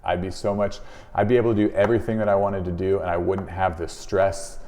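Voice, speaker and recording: Low-voiced man in a very echoey room. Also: little pitch movement, brisk, warm tone. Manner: conversational, explanatory, voices example questions and replies. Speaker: chiropractor presenting a business advice video. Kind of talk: informal instructional talk to camera.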